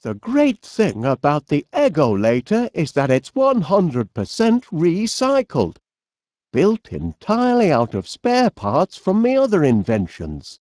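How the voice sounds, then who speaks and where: An actor playing a cheery, absent-minded middle-aged inventor with a Northern English accent, bumbling and chatty in an animated comedy game. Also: male voice, a little high, a little slow, dry recording, studio quality. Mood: genial musing. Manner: bumbling, chatty, hesitant, mild exclamations.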